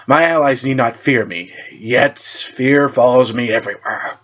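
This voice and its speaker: Man doing a slow, brooding masked vigilante. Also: mid-range voice, a bit bright, muffled recording. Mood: ominous. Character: mysterious dark-voiced teleporting vigilante, brooding and theatrical.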